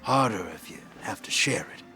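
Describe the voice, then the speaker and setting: A man's voice: lowish voice, expressive, normal speed, over music. An actor playing a worldly, sly male drifter in a video game, with a folksy, streetwise drawl. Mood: wry, reflective. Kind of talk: video game dialogue acting.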